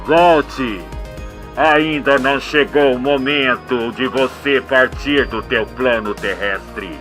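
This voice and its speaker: A man's voice: medium pitch, steady tone, slow, fairly bright tone, faint music behind, pitched below the performer's own voice. A man voicing a solemn, loving divine voice that speaks in commands in a radio soap.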